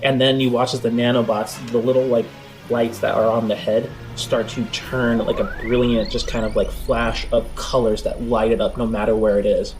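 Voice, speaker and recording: Halting male game master over music. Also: medium pitch, little pitch movement, darkish tone, low-quality recording. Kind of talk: improvised tabletop game narration. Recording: background music under the voice.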